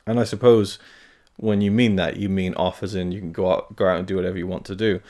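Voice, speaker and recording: Deep-voiced man, hesitant and rambling. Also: fairly even intonation, fast talker, a little mellow. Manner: rambling, hesitant, many fillers and restarts. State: talking carefully because of tooth pain. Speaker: film review channel host. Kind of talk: casual channel update to camera.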